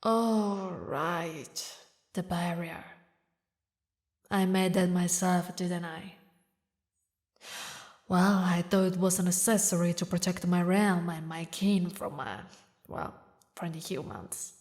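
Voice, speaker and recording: Woman voicing a slow-spoken, emotional demon queen. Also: on the low side, a little mellow. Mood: soft, regretful. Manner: dramatic, halting, breathy whispers, emotional. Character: grieving vengeful demon queen, tender toward lost female lover. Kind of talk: scripted romantic audio roleplay.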